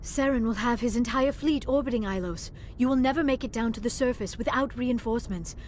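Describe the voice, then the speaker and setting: A woman playing a gentle, earnest alien researcher in a video game, with careful, formal phrasing. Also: a little high, a little fast, a little hiss or hum, natural voice. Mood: urgent. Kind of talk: video game character acting.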